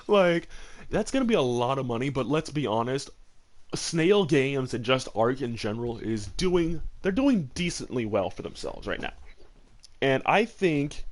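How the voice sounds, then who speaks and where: A man chatting casually as host of a gaming discussion, rambling and emphatic, often repeating phrases for stress. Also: medium pitch. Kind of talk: casual chat, opinionated commentary.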